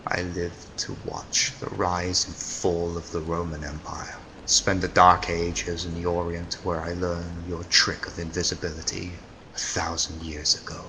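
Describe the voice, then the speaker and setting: An actor playing a million-year-old immortal Neanderthal villain, theatrical and grandiose, in old-time radio drama style. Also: male voice, low voice, flat delivery, measured pace, pushed and bright, slight background noise, exaggerated voice, poor audio. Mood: brooding recollection. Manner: theatrical, grandiose, menacing declamation.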